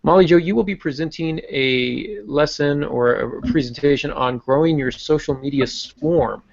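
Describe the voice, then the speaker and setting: A man's voice: fairly high pitch, normal speed, gravelly. A man hosting a podcast in a casual, easygoing, lightly joking way. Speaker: podcast host. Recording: muffled lo-fi online-call audio.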